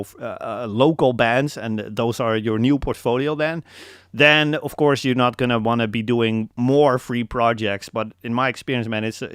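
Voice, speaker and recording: A man's voice: mid-range voice, clean tone, no echo, studio quality. A young man from the Netherlands with a Dutch accent, hosting a casual live coaching call and hammering home his points with repetition. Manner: emphatic, repeats key points, calls listeners man.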